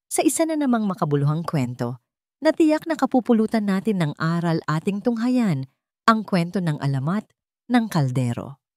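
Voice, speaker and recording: A woman's voice: fairly low pitch, very animated, moderate pace, warm tone, no room sound, studio quality. A woman with a Filipino accent reading a scripted folktale for children as a storyteller. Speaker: storyteller and channel host.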